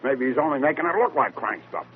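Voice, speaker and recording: An actor playing a blunt, slangy police sergeant with an American accent in a 1940s radio drama. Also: male voice, mid-range voice, lively intonation, quick pace, natural voice. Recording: tinny, hissy old radio recording.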